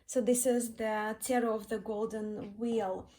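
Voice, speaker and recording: Slow-paced Eastern European woman, higher voice. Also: level tone, warm tone. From Belarus, born in Russia. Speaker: tarot deck reviewer, vlogger. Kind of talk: casual chat to viewers, show-and-tell.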